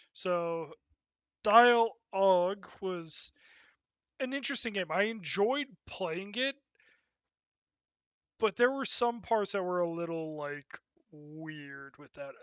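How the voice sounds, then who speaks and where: A man's voice: high-pitched, slow pace, long pauses, fairly bright tone, smooth, no echo, muffled recording. A man giving casual, unscripted game commentary as a streamer, rambling and thinking aloud as he goes.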